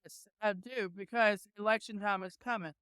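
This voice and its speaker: Bright, crisp, dry-sounding protester; emphatic, halting answers. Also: mid-range voice, fairly even intonation, no room sound. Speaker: rally attendee. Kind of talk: street interview. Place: outdoor street rally.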